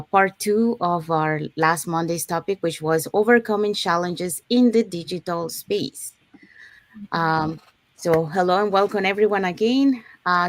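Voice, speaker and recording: A woman's voice: fairly low pitch, dry recording. A woman from Panama hosting a live online talk, presenting to her audience. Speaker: host of an online business talk show.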